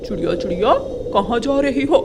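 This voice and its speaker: A woman's voice: fairly high pitch, lively intonation, normal speed, gravelly, very noisy. A woman voicing a discouraged, hungry male crow in a children's cartoon, calling out insistently. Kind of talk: children's cartoon voice acting in Hindi.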